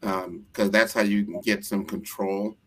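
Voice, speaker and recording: A man's voice: lowish voice, level tone, unhurried, a bit bright, a little hiss or hum, rough recording. An American man hosting a casual interview, talking earnestly and rambling with frequent restarts. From United States.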